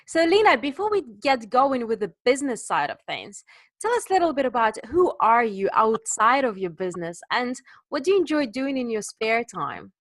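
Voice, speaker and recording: Woman podcast host asking interview questions, chatty, slightly high voice, really dry sound. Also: big pitch swings, moderate pace, no echo. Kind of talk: conversational business interview.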